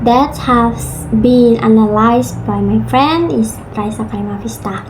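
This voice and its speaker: Woman, slow careful delivery, somewhat high voice, faint room noise. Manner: slow, halting, with many uh fillers. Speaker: student. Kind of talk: class presentation on pronunciation.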